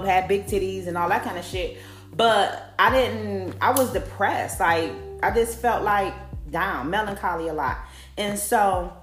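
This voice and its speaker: A woman's voice: medium pitch, reverberant. A woman in her forties hosting a podcast, talking candidly in a blunt, profane, conversational way with lots of "like". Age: 40s. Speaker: podcast host. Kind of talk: candid personal monologue.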